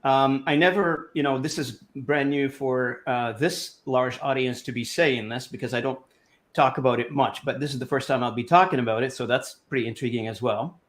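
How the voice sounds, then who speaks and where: A man's voice: medium pitch, moderate pace, a bit bright. A man in his fifties, originally from Lebanon, talks through his life story as an interview guest. He rambles a bit, stacking fillers and restarting his sentences, and he sounds like he is on a video call.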